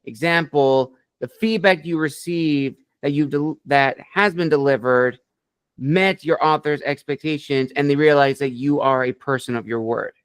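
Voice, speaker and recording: A man with a fairly high, smooth, clear voice hosts an interview and asks long, winding questions. He praises his guest with eager enthusiasm. The sound is dry and close. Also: normal speed, no echo. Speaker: podcast interview host.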